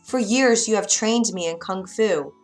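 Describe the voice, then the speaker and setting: A woman's voice: mid-range voice, unhurried, soft background music, natural voice. A woman voicing a brave young woman warrior in a storybook reading, in a raised, earnest and pleading tone. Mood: determined. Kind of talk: storybook character voice.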